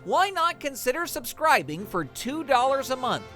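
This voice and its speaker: A man's voice: very high-pitched, very animated, moderate pace, sharp tone, soft background music. A man narrating and announcing in a scripted, theatrical radio-serial style. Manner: theatrical, presentational.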